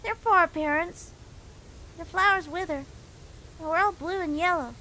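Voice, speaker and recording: A woman's voice: very high voice, moderate pace, bright, forceful tone, slight background noise, rough recording. A woman voicing a sweet, curious little monster boy prince in a comic dub, innocent and playful. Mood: earnest, cheerful. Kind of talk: cartoon-like comic dub voice acting.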